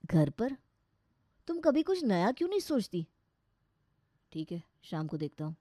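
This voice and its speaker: A woman acts out an irritable young husband in a dramatised moral story. He is scornful and short-tempered, scolding in a loud, sarcastic way. The sound is dry. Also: mid-range voice, big pitch swings, measured pace, dry recording. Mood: dismissive.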